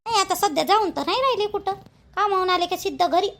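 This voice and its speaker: A woman's voice: very high-pitched, quick pace, a bit bright. A woman voicing a gossipy, grumbling daughter-in-law in a village cartoon, speaking rustic Vidarbha Marathi. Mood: complaining. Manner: colloquial, rustic, complaining.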